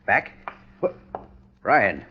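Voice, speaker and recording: Animated, urbane radio villain. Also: male voice, fairly low pitch, extremely slow, slightly rough, heavily acted. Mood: flustered, challenging. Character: polished, wary male rival with a gun. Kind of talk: 1950s radio detective drama acting. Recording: muffled 1950s radio recording.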